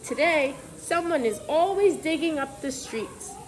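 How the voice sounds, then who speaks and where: High-pitched woman reading aloud to children. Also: expressive, rough recording. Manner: instructive, pausing to question young listeners. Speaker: preschool teacher. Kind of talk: children's picture book read-aloud with questions.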